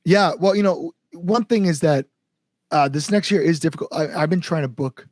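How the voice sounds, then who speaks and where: A man's voice: on the high side, moderate pace, a little mellow, a little hiss or hum. An American man speaking as an interviewed band front-man, casual and earnest, with informal phrasing. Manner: earnest, informal with mild swearing.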